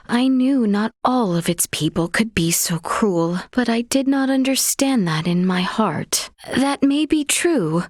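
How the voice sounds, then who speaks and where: A high, expressively melodic young noblewoman from a fantasy game, gracious and earnest. She speaks slowly and formally, with courtly politeness and old-fashioned phrasing. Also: no echo, studio quality. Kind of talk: video game voice acting.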